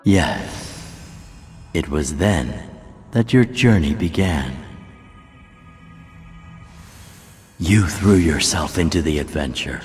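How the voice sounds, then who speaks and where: An actor playing a solemn, mysterious guide in a video game, formal and deliberate as he tells of prophecy. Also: low-pitched. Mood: solemn storytelling. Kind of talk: video game cutscene narration.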